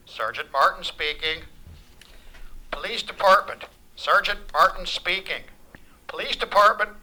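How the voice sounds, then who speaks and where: A man playing a brisk, businesslike police desk sergeant answering the telephone in an old-time radio play. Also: high voice, bright, forceful tone, heavily acted, low-quality recording.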